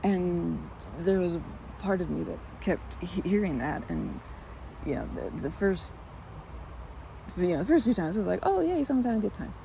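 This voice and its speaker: A woman's voice: lowish voice, lively intonation, normal speed, vocal fry. A woman playing a timid, sweet, awkward young woman in an ASMR roleplay, stammering and hesitant, with a giggly, flustered manner. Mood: chatty, animated. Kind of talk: ASMR roleplay, improvised-sounding one-sided conversation. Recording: muffled with loud outdoor ambience noise.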